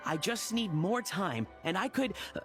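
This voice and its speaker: An actor playing an earnest, polite researcher in a video game, explaining legends in a lecturing tone. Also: male voice, high-pitched, sing-song, normal speed, a bit bright, faint music behind. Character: earnest scholarly male researcher.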